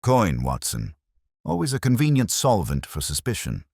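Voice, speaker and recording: A man voicing a calm, curt gentleman detective with a smooth, formal manner in an audiobook story. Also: on the low side, normal speed, no echo, clean studio sound, pitched above the performer's own voice, full character voice. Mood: dry, inquiring.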